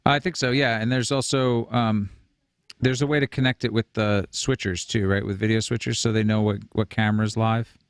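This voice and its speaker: A man's voice: fairly low pitch, level tone, moderate pace, smooth, no echo. A man in his forties moderating a webinar in a conversational way, speaking into a close studio microphone. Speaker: host and moderator. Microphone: close broadcast mic on a boom arm.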